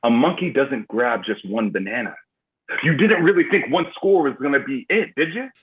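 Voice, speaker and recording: A man's voice: medium pitch, lively intonation, normal speed, a little hiss or hum, lightly acted. A man acting a menacing, cocky drug dealer boss in a screenplay table read, taunting and foul-mouthed. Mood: smug, taunting. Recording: muffled phone-like line, music behind.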